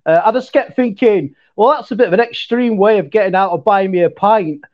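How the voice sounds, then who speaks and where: A man's voice: high voice, fairly quick, muffled recording. A man from Leeds with a Yorkshire accent, chatting as a guest and hesitating with lots of fillers. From Leeds, northern England. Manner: hesitant, many fillers, says mate a lot. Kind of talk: casual chat.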